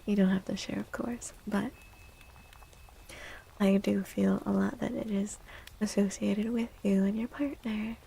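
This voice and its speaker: A soft-spoken woman hosting an ASMR livestream and reading tarot, gentle and unhurried, often dropping into a breathy half-whisper. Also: mid-range voice, little pitch movement, slight background noise, rough recording. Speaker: livestream host and tarot reader.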